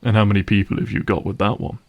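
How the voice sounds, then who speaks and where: A man playing a gruff, cynical vampire hunter for hire in a dramatic audio roleplay, speaking in a wry, sardonic and menacing way. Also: on the low side, flat delivery, fast talker, lo-fi sound, natural voice. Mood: guarded, bargaining. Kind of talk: dramatic audio roleplay monologue addressed to listener.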